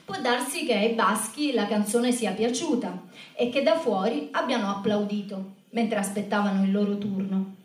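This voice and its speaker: A woman telling a scripted story in a stage show, in a measured, reflective manner. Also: mid-range voice. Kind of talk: scripted spoken-word narration in a theatre show. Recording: echoey room, distant microphone.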